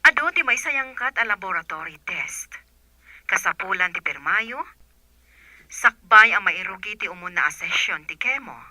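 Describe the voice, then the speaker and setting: A woman's voice: mid-range voice, expressive, moderate pace, pushed and bright, no echo. A woman playing a businesslike doctor delivering news in a radio drama. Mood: urgent, professional.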